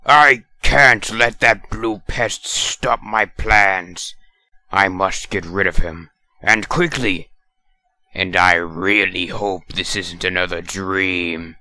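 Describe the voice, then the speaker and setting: A man voicing an exasperated, theatrical mad scientist villain in a comic dub, swinging between dry grumbling and yelling. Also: deep voice, slow pace, no room sound, full character voice, poor audio. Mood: scheming. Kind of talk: comic dub character acting. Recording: music bed behind the voice. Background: music.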